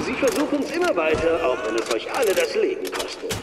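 Distant-sounding and buried in loud gunfire, a manic video game radio DJ. He taunts with sardonic, theatrical relish and drawn-out emphasis. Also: sits high, huge pitch swings, heavy background noise. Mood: mock sympathy. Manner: theatrical, sarcastic, drawling emphasis.

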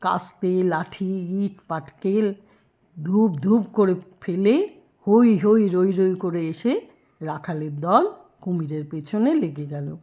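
A woman telling a children's story expressively, with drawn-out emphasis and rhetorical questions. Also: on the low side, expressive, dark tone, lo-fi sound.

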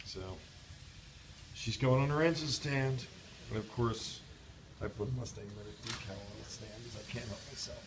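A middle-aged man with a hoarse, fading voice, giving an enthusiastic, chatty update on a car project in his workshop, filmed on a handheld phone. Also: medium pitch, steady tone, normal speed, long pauses, background noise. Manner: enthusiastic, chatty, addresses viewers as guys. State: losing his voice. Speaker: restoration shop owner, vlog host. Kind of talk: casual shop-floor video update. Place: indoor car restoration workshop. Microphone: handheld phone camera.

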